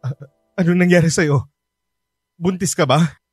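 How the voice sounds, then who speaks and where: A man's voice: on the high side, measured pace, darkish tone, slightly rough, no room sound, studio quality, faint music behind. A man playing a stern, anguished working father in a radio drama, emotional and exasperated. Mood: shocked.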